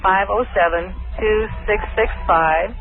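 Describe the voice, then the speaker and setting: A woman's voice: mid-range voice, a little slow, a little hiss or hum. Earnest American woman on a tinny phone line. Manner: sincere, unruffled, accepting. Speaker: phone-in guest, religious book author. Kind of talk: earnest religious testimony in conversation.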